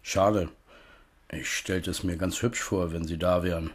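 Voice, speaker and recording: A man's voice: low voice, steady tone, unhurried, husky. An actor in a radio play playing a kindly, grumbling elderly man who talks matter-of-factly.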